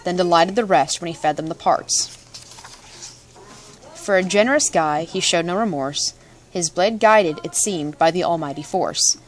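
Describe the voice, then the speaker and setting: A woman reading a storybook aloud with dramatic, playful narration. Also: fairly low pitch. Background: music.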